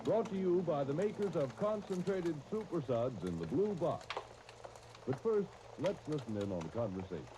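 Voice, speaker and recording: A man's voice: on the high side, sing-song, normal speed. An American man announcing from a script in dramatic, emphatic 1930s radio style. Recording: noisy 1939 radio broadcast disc.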